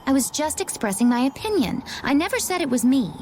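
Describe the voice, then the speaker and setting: Casting: composed anime game fighter, slightly high voice, cool with playful edge. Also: female voice, very animated, a little fast, a little mellow, slight background noise.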